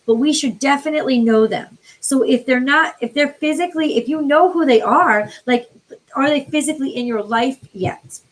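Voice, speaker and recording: An American woman from the New York City area reads tarot on a live stream, chatting informally with viewers by name, her voice tiring and getting a little hoarse. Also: sits high, normal speed, poor audio. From New York City area, USA. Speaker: tarot card reader, livestream host.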